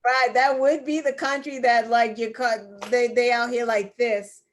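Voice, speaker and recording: A woman's voice: on the high side, steady tone, measured pace, slight background noise. A woman co-hosting an online news show, running a trivia game in casual chat and laughing often. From United States. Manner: laughs often, playful quizmaster. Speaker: co-host.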